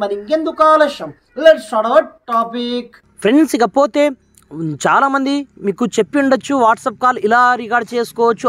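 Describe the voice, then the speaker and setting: A man's voice: very high voice, fairly bright tone, dry recording. A man hosting a tech tutorial, addressing viewers as friends in an instructional way. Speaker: YouTube tech channel host. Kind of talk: tech tutorial to camera and screen demo.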